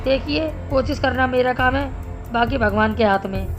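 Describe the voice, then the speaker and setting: A woman voicing a concerned male village doctor in an animated Hindi story, explaining things in a measured way. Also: sits high, lightly acted. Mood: earnest. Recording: music behind the voice.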